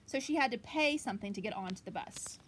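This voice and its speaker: Woman, elementary teacher, bright voice, lively intonation, casual storytime delivery, faint outdoor background noise. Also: mid-range voice, fast. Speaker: elementary school teacher reading aloud to pupils. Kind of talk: casual read-aloud storytime with explanatory asides. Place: outdoors on her back porch. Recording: outdoor home recording with background ambience.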